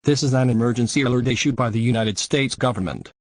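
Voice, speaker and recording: A man's voice: mid-range voice, fast, heavy background noise, natural voice. A man playing a stern, official announcer reading a scripted government emergency alert. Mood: stern, formal.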